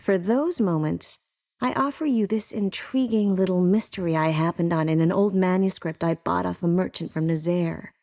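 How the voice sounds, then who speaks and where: An actress playing an earnest, caring young sorceress in a video game, expressive and by turns determined and wistful. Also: female voice, fairly low pitch, normal speed, dry recording, close to an everyday voice. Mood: composed. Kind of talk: video game character dialogue.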